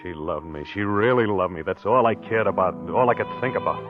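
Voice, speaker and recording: An American actor playing a jealous, obsessive wife-killer in a confessional, insistent 1940s radio mystery drama. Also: male voice, on the low side, fairly quick, over loud music, natural voice. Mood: wistful, obsessed. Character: jealous, obsessive man who murdered his wife, narrating his crime. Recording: dull vintage 1940s radio recording.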